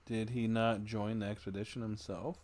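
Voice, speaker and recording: A man's voice: lowish voice, level tone, moderate pace, darkish tone, slight background noise. A man livestreaming a game, casually commenting and reading out dialogue. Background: game music.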